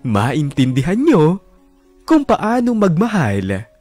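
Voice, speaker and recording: A man's voice: a little high, huge pitch swings, slow pace, a little mellow, dry recording. A man in his twenties earnestly narrating his own story for a radio drama, pleading not to be judged. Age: 20s. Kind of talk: scripted confessional narration. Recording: music bed under narration.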